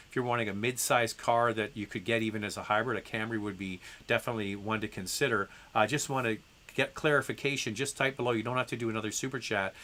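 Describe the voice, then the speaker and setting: Guy with a head cold doing a live q&a, stuffed up, bright tense voice, lots of ums. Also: fairly low pitch. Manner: conversational, with frequent ums and restarts; reads viewer messages aloud. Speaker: host answering viewer questions. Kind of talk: live stream Q&A.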